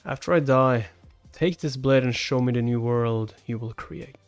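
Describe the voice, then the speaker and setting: A man reads as a solemn old warrior at the end of his life, honorable and formal. The mid-pitched voice speaks in measured phrases over background music. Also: no room sound, lightly acted. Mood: resigned, wistful. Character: dying veteran swordmaster, honorable enemy warrior, solemn. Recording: music behind the voice.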